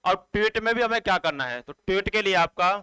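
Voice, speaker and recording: A man's voice: sits high, lively intonation, fast talker, pushed and bright, clear, resonant tone, dry recording. A man giving an informal, explanatory exam update as a coaching teacher, addressing students directly in a repetitive, instructive way.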